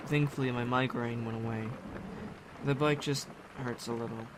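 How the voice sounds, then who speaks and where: A man's voice: medium pitch, fairly even intonation, moderate pace, background noise, natural voice. A man playing a weary, injured young survivor in an audio drama, subdued and terse.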